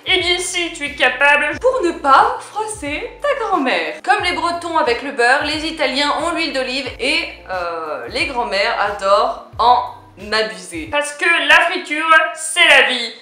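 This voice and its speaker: Bright, chatty young woman vlogger. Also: high-pitched, sing-song, unhurried. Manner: chatty, playful, addresses the viewer. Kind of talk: casual talk to camera. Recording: echoey room.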